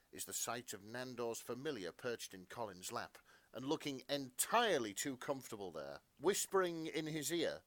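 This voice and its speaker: A man's voice: medium pitch, fairly bright tone, dry recording. A man narrating fan fiction as a podcast co-host, in a melodramatic storytelling style.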